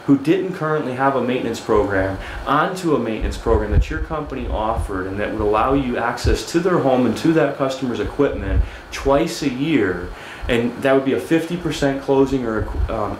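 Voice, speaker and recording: A man's voice: medium pitch, lively intonation, moderate pace. A man in his forties gives a training lecture while writing on a flip chart and talks plainly in a mid-range voice. The room adds a noticeable echo, and a faint ambient hiss sits underneath. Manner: conversational, repeats words, asks "right?" often; stands and writes on a flip chart while talking. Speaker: HVAC business trainer. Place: studio with a dark backdrop, indoors.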